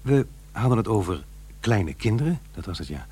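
A man's voice: fairly low pitch, very animated, moderate pace, dark tone, slightly rough, full character voice. An actor playing an aggrieved middle-aged divorced father in a radio play, muttering and questioning himself. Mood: pondering. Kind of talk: naturalistic radio play acting.

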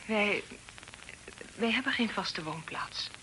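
Proud young daughter, bright voice. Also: female voice, medium pitch, lively intonation, takes it slowly, heavily acted. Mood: courteous. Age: young adult. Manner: formal, spirited, guarded. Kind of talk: radio drama acting. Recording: noisy old radio drama recording.

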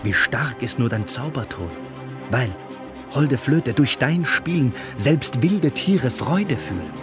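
An actor playing an earnest, brave young prince in a radio drama, declaiming rhymed verse with fervour. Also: male voice, medium pitch, lively intonation, slow pace, over loud music. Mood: grateful, longing. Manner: declamatory, fervent.

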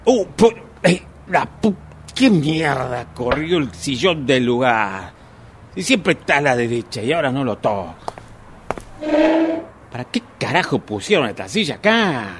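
A man's voice: mid-range voice, very animated, measured pace, background noise. A man with an Argentine Rioplatense accent playing a grumbling, tipsy husband in a radio drama, muttering exasperated complaints to himself. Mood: irritated. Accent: Argentine Rioplatense Spanish. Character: grumbling tipsy husband stumbling home at night.

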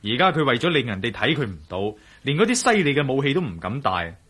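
An actor playing a shrewd, teasing adventurer in a radio drama, with a wry, colloquial manner and a Hong Kong Cantonese accent. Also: male voice, mid-range voice, very animated, fast talker, fairly bright tone, husky. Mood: reasoning. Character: shrewd, teasing male adventurer, cool under pressure.

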